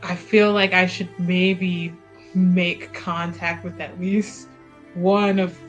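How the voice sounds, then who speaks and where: Unhurried, lowish woman player, giggly, "like"-heavy, faint music. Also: level tone, muffled recording. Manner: laughs often, hedging "like". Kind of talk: tabletop roleplay, in and out of character.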